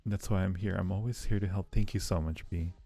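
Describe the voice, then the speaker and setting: A man's voice: low voice, steady tone, brisk, creaky, warm tone, soft background music. A man hosting a casual livestream, chatting playfully and affectionately with viewers in a rambling way, often repeating phrases. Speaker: livestream host.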